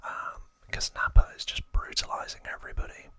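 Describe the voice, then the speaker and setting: An ASMR host whispering casual, informal trivia narration in a breathy, unvoiced voice. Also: a little fast, pushed and bright.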